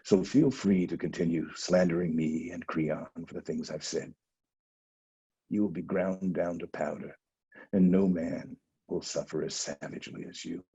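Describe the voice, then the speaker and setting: A grim blind old prophet. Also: male voice, low-pitched, fairly even intonation, moderate pace, dark tone, slightly rough, full character voice. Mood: ominous prophecy. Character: blind old male prophet, stern and foreboding. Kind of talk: Zoom dramatic reading of a Greek tragedy. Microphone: video call. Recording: dull lo-fi video call audio.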